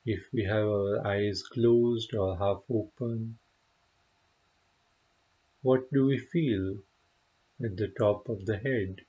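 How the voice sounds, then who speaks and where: Husky guy doing a guided meditation, super slow n gentle, lots of pauses, kinda dull lo-fi audio. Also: on the low side, steady tone. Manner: gentle, unhurried, pausing often. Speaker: meditation teacher.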